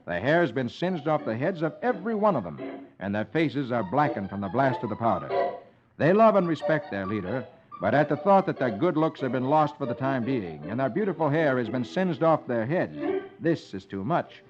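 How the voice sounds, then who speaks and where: A man's voice: medium pitch, sing-song, normal speed. An American man hosting a children's radio show, reading the funnies aloud in a theatrical, articulate 1950s storytelling style. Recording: muffled old radio recording, music behind.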